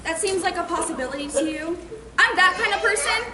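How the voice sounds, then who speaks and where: A woman's voice: very high-pitched, fairly quick, a crowd in the background. A young woman playing an indignant, sarcastic girl who menaces suspected thieves in a theatrical stage monologue. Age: teenager or young adult. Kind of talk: high school stage monologue. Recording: noisy, echoey theater stage recording.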